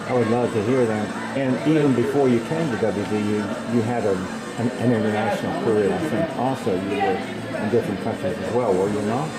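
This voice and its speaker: A man's voice: fairly low pitch, fairly quick, low-quality recording. A man interviewing a basketball player, asking questions with encouraging, somewhat hesitant phrasing. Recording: loud music underneath.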